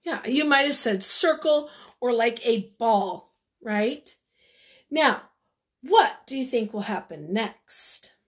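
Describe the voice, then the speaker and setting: A woman reading a picture book aloud to children, expressively and deliberately, with dramatic emphasis. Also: fairly high pitch, animated, husky. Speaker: children's read-aloud storyteller.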